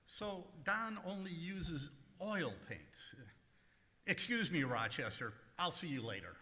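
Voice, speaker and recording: An actor playing a fussy, easily exasperated comedian in an old-time radio comedy, speaking hesitantly with hems and pauses. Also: male voice, fairly high pitch, very animated, pushed and bright, a little hiss or hum, exaggerated voice. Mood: puzzled. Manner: hesitant, hemming and drawn-out delivery. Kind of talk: 1940s radio comedy acting before a live audience. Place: large echoey museum room, live stage. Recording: echoey hall recording of stage show.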